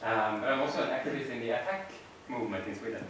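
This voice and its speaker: A man's voice: medium pitch, fast. Eager man activist, echoey room. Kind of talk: self-introduction. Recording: echoey room from far off, noisy.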